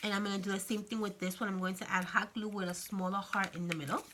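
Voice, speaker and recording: A woman hosting a casual crafting tutorial, chatty and friendly, her voice slightly raspy from a cold. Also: mid-range voice, fairly even intonation, quick pace, fairly bright tone, a little hiss or hum. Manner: chatty, friendly, many fillers and "okay?" check-ins. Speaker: craft channel host.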